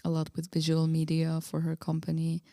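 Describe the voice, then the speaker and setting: Low, creaky-voiced woman, mellow. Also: monotone, a little fast, no echo, studio quality. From Austria. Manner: laughs easily, hesitant and informal. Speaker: interview guest, musician. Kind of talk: casual conversational interview.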